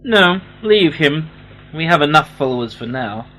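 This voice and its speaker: A man's voice: on the high side, animated, normal speed, sharp tone. A man playing a solemn, reverent leader of a secret cult in an amateur sci-fi radio play. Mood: commanding. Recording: distant, faintly noisy home-made recording.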